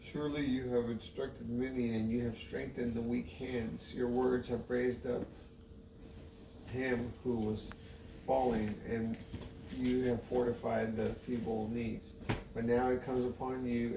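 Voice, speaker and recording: Monotone man reading scripture, echoey and distant. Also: medium pitch, creaky. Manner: halting, stumbles and rereads words. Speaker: Bible reader. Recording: roomy, distant mic with faint noise.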